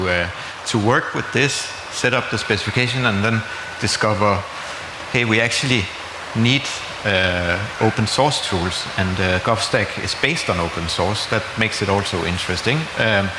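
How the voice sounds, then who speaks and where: A man answering questions at a conference, speaking hesitantly with many fillers. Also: fairly low pitch. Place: conference room. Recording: echoey hall with room noise.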